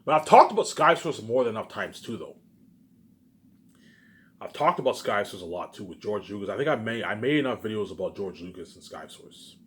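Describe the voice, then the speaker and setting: A man giving a rambling, unscripted, repetitive monologue to viewers, emphatic, with loud bursts that drop into muttered asides. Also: fairly low pitch, big pitch swings, fairly quick, a little hiss or hum. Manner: repetitive, circling back to same phrases. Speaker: online video maker.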